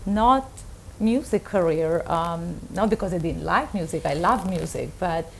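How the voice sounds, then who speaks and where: A woman's voice: on the low side, a little slow, gravelly, a little hiss or hum, poor audio. A woman from Israel whose first language is Russian, talking casually as an interview guest and searching for her words. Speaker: opera soprano, interview guest.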